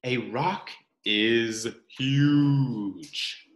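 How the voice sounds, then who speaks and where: A man in his thirties reading a children's picture book aloud in a deliberate, explanatory way, with dramatic emphasis on key words. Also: mid-range voice. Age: 30s. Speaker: read-aloud presenter. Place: small room at home, indoors. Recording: roomy home recording.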